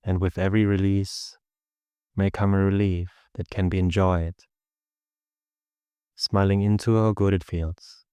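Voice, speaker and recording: A man with a low, clean and resonant voice guides a meditation. He gives calm, measured instructions and leaves space between phrases. The sound is dry, with very little room in it. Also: clear, resonant tone, no echo. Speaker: meditation teacher.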